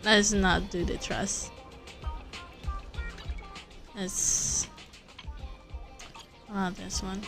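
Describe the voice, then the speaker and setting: Slow-talking woman streamer, slightly creaky. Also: mid-range voice, flat delivery, music in the background. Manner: rambling, thinking aloud, repeats phrases. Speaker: livestreamer playing a video game. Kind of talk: casual livestream chat while gaming.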